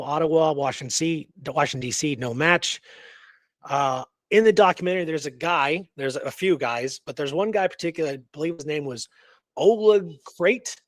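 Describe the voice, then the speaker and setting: A man's voice: on the high side, dry recording. A man hosting a radio show, retelling a historical case in casual, slangy talk with emphatic repeated phrases.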